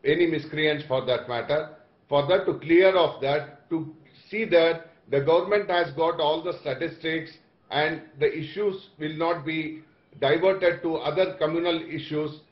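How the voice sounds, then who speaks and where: Man, middle-aged, Indian accent, halting political debater, roomy echo, lo-fi video-call audio. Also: fairly high pitch. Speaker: political party spokesperson. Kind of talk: TV debate, defending a position. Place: small room, indoors, on video call. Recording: echoey, dull video-call sound.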